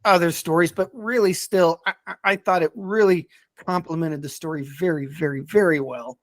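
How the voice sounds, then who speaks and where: A man in a casual podcast discussion, speaking in a hesitant, halting way and often repeating words as he reviews stories. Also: on the high side, no room sound, clean studio sound.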